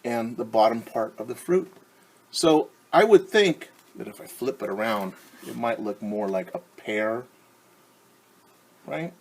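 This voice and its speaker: A man teaching young children, reading a picture book aloud and gently asking them questions. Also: mid-range voice, animated, measured pace. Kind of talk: children's story time read-aloud.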